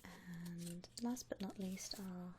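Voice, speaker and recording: A woman whispering softly and breathily in an attentive, gentle customer-service ASMR roleplay, close to the microphone. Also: lowish voice, slow pace, noisy background. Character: polite, attentive female vampire coffin-shop consultant. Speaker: ASMR roleplay performer, playing a shop consultant.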